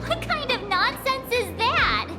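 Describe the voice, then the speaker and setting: A woman's voice: extremely high pitch, big pitch swings, a little slow, echoey room, exaggerated voice. A woman voicing a skeptical, impatient young female investigator in a video game, with an incredulous, exasperated manner. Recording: voice over loud music.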